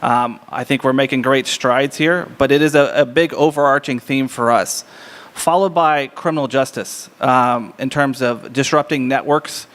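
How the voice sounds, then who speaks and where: Male guest speaker, American accent, booming medium-pitched voice, candid relaxed wording, long room reverb. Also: normal speed. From United States. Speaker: embassy diplomat, guest speaker. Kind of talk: informal public address. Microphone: lectern microphone. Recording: echoey reverberant hall.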